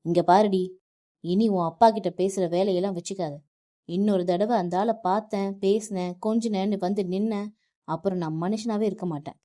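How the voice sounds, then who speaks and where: A woman voicing an enraged young husband who yells harshly at his wife. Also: medium pitch, steady tone, normal speed, natural voice. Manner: shouting, harsh.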